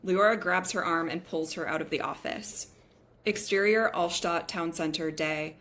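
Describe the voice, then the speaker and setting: A woman's voice: on the low side, moderate pace, fairly bright tone. A woman reading scripted stage directions as narrator at a table read.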